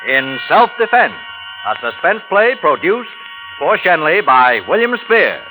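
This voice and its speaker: High, animated radio announcer. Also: male voice, unhurried, poor audio. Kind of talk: 1940s dramatic radio announcing. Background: music.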